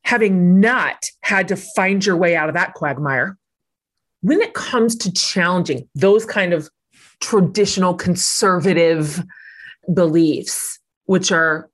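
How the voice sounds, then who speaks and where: Low-voiced woman host, thoughtful. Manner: thoughtful, measured, drawn-out emphasis. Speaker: podcast host. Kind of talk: interview conversation.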